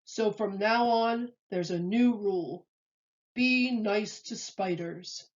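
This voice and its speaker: A woman's voice: mid-range voice, slow, highs cut off, lightly acted. A woman voicing a bossy, commanding male zoo keeper in a children's storybook. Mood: decisive.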